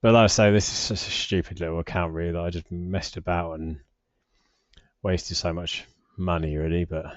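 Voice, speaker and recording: A British man in his forties with a low voice talks casually through a screen share. He rambles and hesitates, often putting himself down. The recording is slightly dull but quite dry. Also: steady tone, moderate pace, dry recording. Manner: rambling, hesitant, self-deprecating. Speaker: personal finance vlogger.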